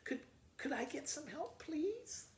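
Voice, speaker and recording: A man voicing an excitable young girl in a storybook, exclaiming and repeating himself. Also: very high voice, slow, echoey room, slight background noise, pitched above the performer's own voice, exaggerated voice. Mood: eager pleading. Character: excitable mocking girl in a folktale.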